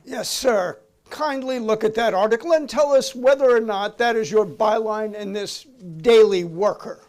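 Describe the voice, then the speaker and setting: A man's voice: high-pitched, normal speed, a little mellow, lightly acted. A man reading the part of a formal, insistent committee counsel questioning a witness in a dramatic reading of a 1950s hearing. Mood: formal, accusing. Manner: courtly, official, insistent.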